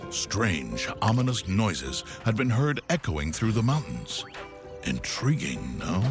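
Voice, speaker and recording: An actor playing a wry storybook narrator of a comic game adventure, knowing and playful, with a theatrical manner. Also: male voice, lowish voice, big pitch swings, slow pace, music in the background, heavily acted. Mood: playfully mysterious.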